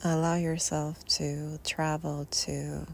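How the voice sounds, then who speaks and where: A woman leads a guided meditation in a low, gravelly voice, very slowly and softly. Long pauses separate her phrases, which often fade into breathiness. She is recorded outdoors, with faint natural ambience behind her. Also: flat delivery, slight background noise. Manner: soft, unhurried, with long pauses. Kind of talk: guided Yoga Nidra meditation.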